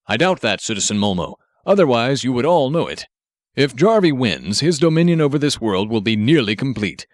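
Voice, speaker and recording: A man's voice: medium pitch, big pitch swings, a little fast, no echo, studio quality, close to an everyday voice. A man voicing a pompous, earnest superhero who speaks in formal, declamatory sentences with stubborn optimism, in an audiobook. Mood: grandly earnest.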